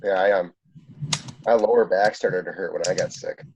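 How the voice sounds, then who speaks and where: A man's voice: low voice, normal speed, darkish tone, husky. A congested man chatting and joking with teammates over a video call, laughing often. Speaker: student team member. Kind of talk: casual video call chat. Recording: dull lo-fi call audio.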